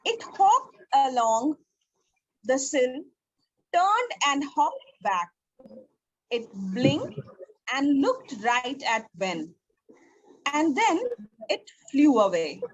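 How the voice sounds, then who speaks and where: A woman narrating a story in a reader's theatre, reading aloud slowly with careful, halting phrasing. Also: high-pitched, big pitch swings, highs cut off.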